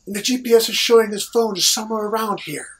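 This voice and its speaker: An actor playing a pompous, irritable immortal devil who rules the underworld, in a husky voice in an audio comedy drama. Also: medium pitch, fast, fairly bright tone, slight background noise, natural voice. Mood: irritated, worried. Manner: pompous, easily exasperated.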